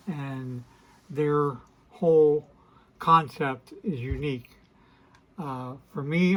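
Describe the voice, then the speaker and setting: A man in his sixties with a hoarse, weakened voice, hosting a casual to-camera vlog and talking in a hesitant, apologetic way with many fillers and restarts. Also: mid-range voice, poor audio. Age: 60s. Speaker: host of a beard channel.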